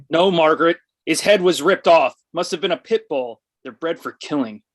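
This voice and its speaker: A man's voice: on the high side, normal speed, lightly acted. A man playing a stuffy, snobbish, well-off husband in a comedy table read. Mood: disapproving.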